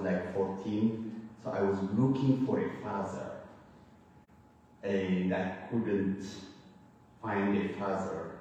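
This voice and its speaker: Deep-voiced Ethiopian-accented preacher in a hall. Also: male voice, darkish tone, a little hiss or hum. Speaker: guest preacher. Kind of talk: sermon with personal testimony. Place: church sanctuary. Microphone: church microphone, heard from afar. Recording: echoey hall from far off.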